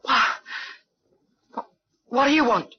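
A woman's voice: high voice, animated, slow pace, husky, heavily acted. An actress playing a wary, plain-spoken young girlfriend of a gangster in an old radio drama. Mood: wary, firm. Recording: noisy, dull, clicking old radio recording.

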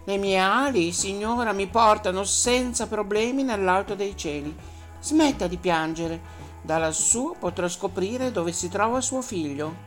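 A woman's voice: mid-range voice, measured pace, soft background music, voice pushed up, close to an everyday voice. Woman playing a kind, stately eagle. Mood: reassuring. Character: kind, grand old eagle.

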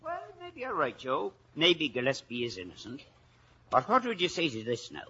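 An actor playing a blustery, suspicious Irish villager, theatrical and emphatic, in a 1950s radio drama. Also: male voice, a little high, wildly swooping pitch, moderate pace, sharp tone, breathy edge, exaggerated voice. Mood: pointedly suspicious. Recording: dull, hissy 1950s radio recording.

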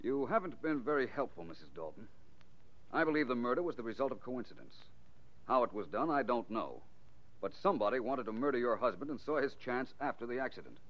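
An American actor playing a wry, self-assured private detective in a 1940s radio drama, speaking formally and articulately. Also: male voice, medium pitch, very animated, a little fast, close to an everyday voice. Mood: cool, probing. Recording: dull, lo-fi 1940s radio recording.

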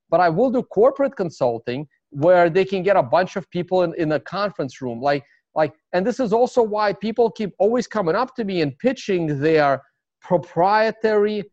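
Higher-voiced Russian-accented man, rambling. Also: expressive, a little fast, darkish tone, dry recording. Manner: rambling, many fillers and restarts. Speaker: interview guest, consultant. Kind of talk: casual interview talk. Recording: dry, slightly dull sound.

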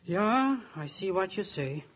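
Hospitable German-accented servant husband, husky, swooping intonation, old-time radio drama. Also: male voice, high voice, very animated, a little slow, fairly bright tone, exaggerated voice. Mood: earnest. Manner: emphatic, stilted immigrant English. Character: warm-hearted, hospitable immigrant husband and handyman servant. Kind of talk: 1940s radio drama acting. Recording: dull, band-limited 1940s radio recording.

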